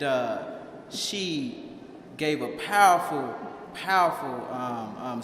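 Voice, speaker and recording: A man hosting a live event, introducing speakers in an informal, emphatic way and repeating words for effect. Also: medium pitch. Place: large echoey hall, live event. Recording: echoey hall with background noise.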